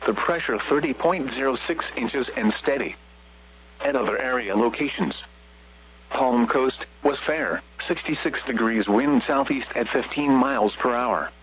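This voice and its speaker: An American man's synthetic voice reading a scripted weather radio bulletin in a flat, measured way. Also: mid-range voice. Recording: muffled, noisy weather radio broadcast with hum.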